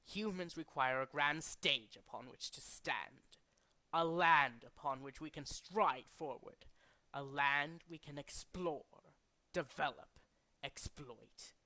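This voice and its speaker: A man's voice: fairly high pitch, bright, forceful tone, heavily acted. A man voicing a condescending, cultured and fanatical villain leader from a video game, speaking in a formal, theatrical way. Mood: cold, lofty. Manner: formal, pompous, theatrical, deliberate.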